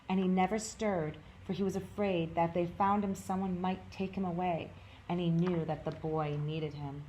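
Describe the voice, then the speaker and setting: A woman narrates a storybook for children as a storytime reader. Her voice sits a little low, and there is clear noise in the background. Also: a little mellow, dull audio. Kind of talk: children's story read-aloud.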